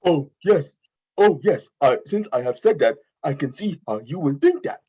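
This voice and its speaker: A man's voice: a little high, lively intonation, normal speed, warm tone, breathy edge. A man playing an eager, simple-minded talking dog in a cartoon script read, with literal, oddly formal phrasing, on a dull lo-fi microphone. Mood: evasive. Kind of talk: amateur table read of an animated film script.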